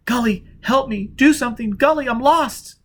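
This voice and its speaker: Brief: man playing a steady, clipped woman pilot's aide, pitched well up. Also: moderate pace. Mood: desperate. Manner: clipped, steady under pressure. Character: cool competent young woman spacer.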